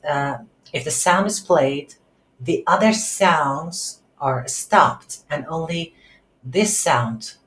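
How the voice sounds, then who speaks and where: A woman giving an unscripted software tutorial as an instructor, halting and thinking aloud while she types. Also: low-pitched. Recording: roomy echo, faint background noise.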